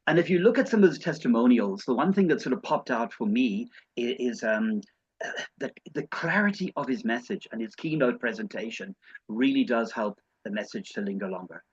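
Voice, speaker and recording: A man hosting an online session, introducing the guest speaker in a halting way with many restarts. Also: mid-range voice, animated, breathy edge, highs cut off.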